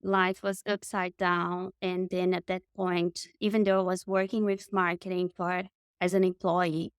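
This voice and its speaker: A woman's voice: lowish voice, little pitch movement, measured pace, clean tone, no room sound, clean studio sound. A woman in her thirties from Brazil with a Brazilian Portuguese accent, a guest telling her story in an interview with enthusiasm and frequent restarts. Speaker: guest, marketing consultant.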